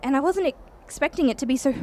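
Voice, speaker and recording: An actress with an Australian accent playing a curious, cheeky young time traveller in a radio play, narrating in a chatty, wide-eyed way. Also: female voice, sits high, fast, darkish tone, slight background noise, close to an everyday voice. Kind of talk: radio play acting, breathless first-person narration.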